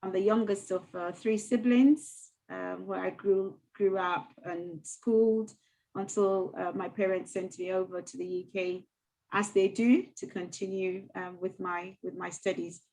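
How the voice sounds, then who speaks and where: Soft-spoken woman, halting speech. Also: mid-range voice, steady tone, poor audio. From Ghana. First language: not English. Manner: soft, halting, with many fillers and restarts. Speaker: mayor, interview guest. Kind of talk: casual interview conversation.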